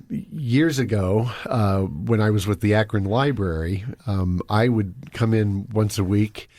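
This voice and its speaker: A man's voice: lowish voice, a little mellow, no room sound. A man co-hosting a local podcast, asking a guest questions in a loose, rambling way full of fillers. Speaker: podcast co-host. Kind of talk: casual interview chat. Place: podcast studio.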